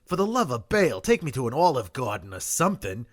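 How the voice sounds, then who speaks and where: An actor playing a chatty, easily offended demon with sarcastic, casual wisecracks in a comedic radio play. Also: male voice, mid-range voice, very animated, fairly quick. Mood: sardonic.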